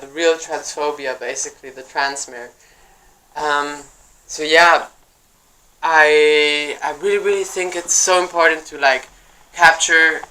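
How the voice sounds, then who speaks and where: A filmmaker fields audience questions after a screening. The speech is fluent but wordy, looping through fillers and restarts at a middling pitch. The room sounds roomy and echoey, with faint noise behind. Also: fairly bright tone.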